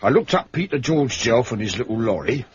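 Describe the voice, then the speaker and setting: An actor playing a rough, cheeky working-class London manservant in a British detective radio drama. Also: male voice, medium pitch, animated, brisk, no room sound. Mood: cheeky, confiding. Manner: colloquial, wry. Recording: dull, lo-fi radio recording.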